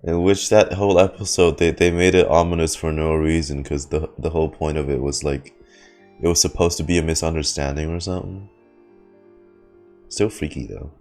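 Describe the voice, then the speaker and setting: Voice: very deep voice, steady tone, normal speed, darkish tone, soft background music. A streamer giving casual, rambling, slangy reaction commentary on a game, thinking aloud and speculating.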